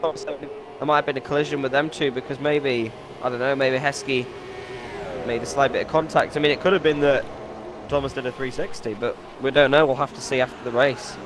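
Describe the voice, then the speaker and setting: A man co-commentating a racing game league, a little raspy from a cough and hesitant with fillers. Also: mid-range voice, steady tone, fairly quick. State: has a cough, slightly raspy. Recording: noisy game audio behind. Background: racing game engine noise.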